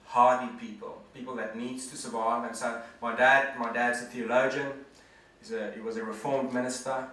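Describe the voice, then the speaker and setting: A man's voice: mid-range voice, bright, forceful tone, distant mic. Echoey man speaking in a hall. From South Africa. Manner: earnest, conversational, reflective. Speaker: guest speaker, management consultant. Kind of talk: presentation to an audience.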